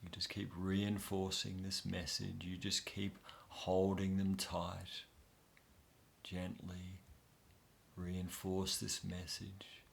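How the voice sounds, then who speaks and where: A man guiding a soft, soothing inner-child meditation with long pauses. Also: deep voice, monotone, gravelly, slight background noise. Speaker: meditation guide.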